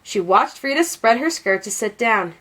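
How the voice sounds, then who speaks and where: Female storybook narrator, expressive, highish voice, very lively intonation. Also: normal speed, rough recording. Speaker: storybook read-aloud narrator.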